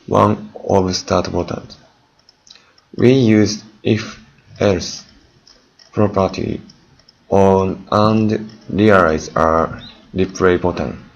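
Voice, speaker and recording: A dull recording carries a male student presenter with a low, creaky voice. He reads out his project extremely slowly, phrase by broken phrase, in non-native English. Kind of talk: prepared presentation of a software project. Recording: dull, lo-fi recording with faint noise.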